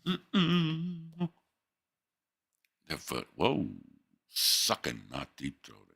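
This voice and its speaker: A man's voice: fairly high pitch, wildly swooping pitch, very slow, gravelly, bright, forceful tone. A man hosting a livestream, teasing and profane in crude casual banter, with a drawl. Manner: brash, profane, teasing, laughs a lot. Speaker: livestream host.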